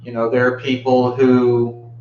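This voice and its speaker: Slow-paced American man, roomy muffled sound. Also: medium pitch, completely flat delivery, a little mellow. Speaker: Buddhist teacher giving a talk. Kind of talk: informal dharma talk. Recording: muffled, roomy, faint background hum.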